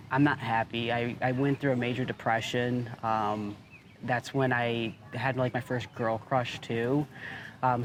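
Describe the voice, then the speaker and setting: Man, 30s, candid interview, halting with fillers, flat delivery. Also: mid-range voice, slight background noise. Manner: hesitant, candid. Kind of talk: documentary interview.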